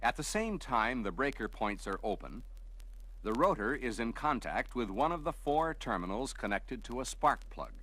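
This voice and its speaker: A man narrates scripted instructional material in a measured, explanatory way, like a training film narrator. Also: mid-range voice, very animated, a bit bright. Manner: measured, explanatory, clearly structured delivery.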